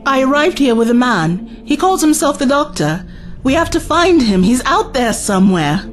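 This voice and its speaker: A woman's voice: sits high, sing-song, moderate pace, soft background music. A woman playing an earnest, evasive young traveller in an audio drama, guarded and hesitant in her answers. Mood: urgent, guarded.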